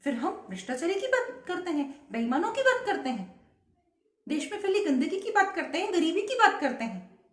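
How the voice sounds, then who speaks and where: Woman, vlogger, earnest, rhetorical questions, high voice, roomy echo. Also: big pitch swings, moderate pace. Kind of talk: patriotic speech to camera.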